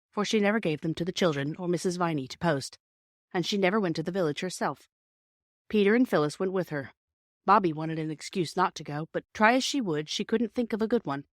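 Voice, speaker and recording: Low-toned woman reading a children's story. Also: dry recording, studio quality. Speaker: audiobook reader. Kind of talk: scripted children's story narration.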